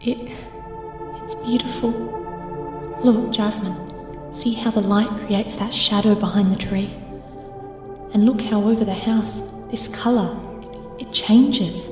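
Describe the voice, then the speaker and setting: Music plays behind a woman acting as a dreamy, idealistic young woman in an audio drama. Her mid-pitched voice is earnest and wistful, sounding set back in a reverberant hall. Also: slow pace, long pauses, far from the mic, natural voice. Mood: wistful, awed. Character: dreamy, trusting, idealistic young woman who loves art.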